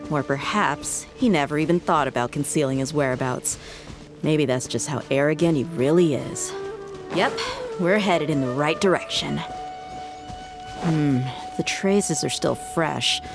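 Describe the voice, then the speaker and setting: A woman's voice: sits low, a little slow. An actress playing a shrewd, confident female mercenary tracker in a video game, with a cool, assured manner. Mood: confident, focused. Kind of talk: scripted video game voice acting. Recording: music behind the voice. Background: music.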